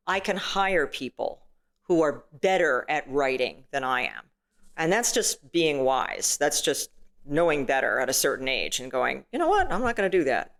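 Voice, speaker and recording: A middle-aged woman with a booming, stage-trained voice, chatting casually as an interview guest with lots of "you know"s and restarts. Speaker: filmmaker and actress guest.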